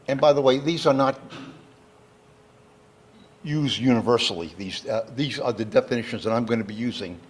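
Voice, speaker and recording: Husky male lecturer explaining step by step. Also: medium pitch. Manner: deliberate, plainly explanatory, defines terms step by step. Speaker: lecturer, engineer. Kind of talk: educational public lecture, explanatory. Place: talk given live to an audience.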